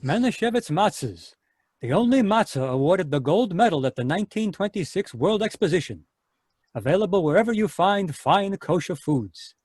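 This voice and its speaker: A man reading sponsor ads in a smooth 1940s radio commercial cadence, recorded on a home mic over a video call. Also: high voice, expressive, moderate pace, a little mellow, dry recording. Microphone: home microphone on a video call.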